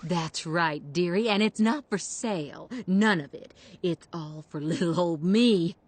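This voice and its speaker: A woman voicing a possessive, stubborn little old lady in an animated fantasy game, coy and greedy. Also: lowish voice, a little slow.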